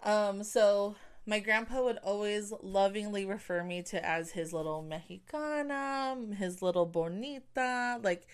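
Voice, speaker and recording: A woman's voice: medium pitch, a little slow, few pauses, clean tone. A young woman hosting her own show, talking candidly in a casual personal monologue, full of "like" and "you know". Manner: candid, chatty, heavy use of "like" and "you know". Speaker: podcast host.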